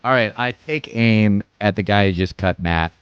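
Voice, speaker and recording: A man's voice: lowish voice, normal speed, lo-fi sound, noisy background. A man chatting casually as a player in a tabletop game.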